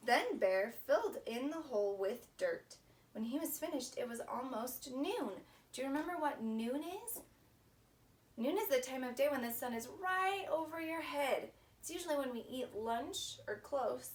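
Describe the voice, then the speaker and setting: A woman leading a children's story time, reading expressively in simple phrases and asking young listeners questions. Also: high voice. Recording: distant mic with faint background noise.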